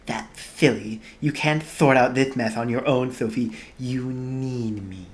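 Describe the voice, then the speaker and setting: A reader voicing a lisping little boy who is a wizard in disguise, sweet and coy, often hushed. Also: medium pitch, unhurried, natural voice. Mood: wheedling.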